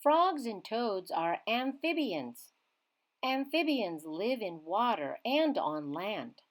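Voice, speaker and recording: A woman reading a children's science book aloud in a teacherly way, emphasizing key words with simple, expressive phrasing. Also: mid-range voice, slow. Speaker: children's read-aloud reader.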